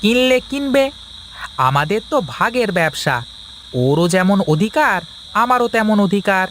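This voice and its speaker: A man's voice: high voice, expressive, normal speed, a bit bright, slight background noise. A man voicing an easygoing village husband in a cartoon family story, with an exclamatory, coaxing manner. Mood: placating, exasperated. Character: easygoing village husband who placates his nagging wife.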